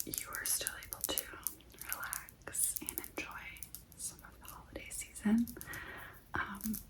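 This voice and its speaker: A woman hosting ASMR in a breathy whisper, talking gently and directly to the listener and repeating phrases. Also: fairly high pitch, low-quality recording. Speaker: ASMR host.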